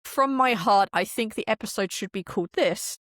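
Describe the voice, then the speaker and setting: A woman with a mid-pitched voice talks casually as an interview guest. She is candid and informal, explaining at length and posing questions to herself. The sound is close and dry, with very little room in it. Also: moderate pace, fairly bright tone, dry recording. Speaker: podcast guest, indie audio drama producer.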